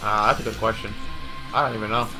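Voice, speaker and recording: A young man casting esports, chatting and teasing his co-casters in quick banter over a headset. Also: on the low side, fairly even intonation, pushed and bright, soft background music. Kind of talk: casual chat between casters.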